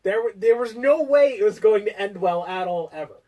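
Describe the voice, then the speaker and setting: A man's voice: high voice, animated, fairly quick, warm tone. An American man in his early thirties from Connecticut, co-hosting and telling stories in a casual chat. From Connecticut, United States. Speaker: co-host. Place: basement room. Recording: voice somewhat distant from the mic.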